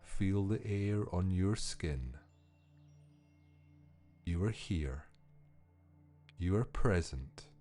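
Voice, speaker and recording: A man reading scripted guided-meditation narration in a soothing, unhurried manner with measured pauses. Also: deep voice, animated, dark tone, soft background music. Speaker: podcast host and meditation guide.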